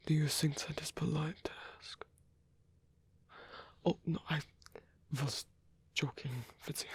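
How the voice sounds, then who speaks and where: Slow, whispery old vampire, acted by an ASMR performer. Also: mid-range voice, no room sound, full character voice. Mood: teasing. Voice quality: breathy, whispery, husky. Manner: slow, halting, tender delivery. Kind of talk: ASMR roleplay monologue.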